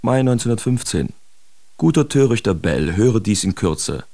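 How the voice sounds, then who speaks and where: An actor playing a sardonic, self-absorbed bohemian letter writer in a literary radio drama, with theatrical, deliberate diction. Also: male voice, on the low side, very animated, vocal fry, loud music behind the voice. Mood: sardonic relief. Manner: theatrical, deliberate, ornate diction with pointed emphasis.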